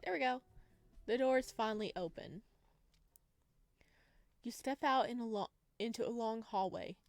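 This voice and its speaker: A woman's voice: a little high, moderate pace, no echo, faint music behind. A woman reading a visual novel game aloud in a casual way, adding her own playful asides. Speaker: gaming video creator reading and playing a visual novel.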